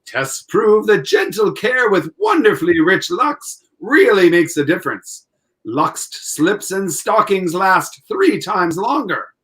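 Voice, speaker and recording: A man's voice: high-pitched, sing-song, slow, fairly bright tone, full character voice. A man playing an upbeat, polished 1940s radio announcer, hearty and salesmanlike as he presents and narrates. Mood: enthusiastic.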